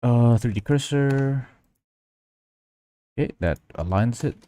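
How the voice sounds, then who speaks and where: A man's voice: medium pitch, animated, takes it slowly, warm tone. A man from Indonesia hosting a casual livestream tutorial, thinking aloud as he works and chatting with viewers. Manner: thinking aloud, reading out and answering chat. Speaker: livestream host. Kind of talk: casual livestream tutorial chat while working.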